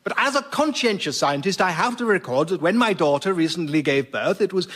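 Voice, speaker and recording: An actor playing an earnest, precise older British biology professor, defending himself in a radio comedy interview. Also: male voice, high-pitched, expressive, fairly quick, a bit bright. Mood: defensive, smitten. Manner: earnest, precise, hedging academic. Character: earnest, defensive biology professor besotted with his baby granddaughter. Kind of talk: radio sketch comedy played as a formal interview.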